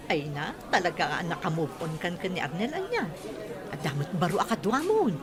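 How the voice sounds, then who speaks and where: A woman's voice: lowish voice, big pitch swings, moderate pace. A woman acting a giggly, gossipy, teasing friend in an Ilocano radio comedy drama, with a Filipino Ilocano accent. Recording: busy crowd chatter behind.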